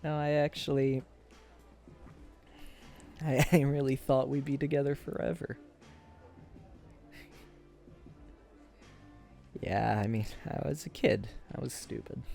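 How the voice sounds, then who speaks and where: A man playing a wistful, self-deprecating former high school sweetheart in an intimate, halting boyfriend ASMR roleplay. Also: fairly even intonation, vocal fry, darkish tone, faint music behind, lightly acted. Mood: wistful, amused. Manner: hesitant, halting, chuckles through confessions.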